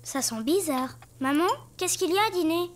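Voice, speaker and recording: A woman's voice: extremely high pitch, normal speed, a little hiss or hum. A woman voicing a stubborn little boy superhero with a childlike, protesting manner in a space cartoon. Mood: curious. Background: music.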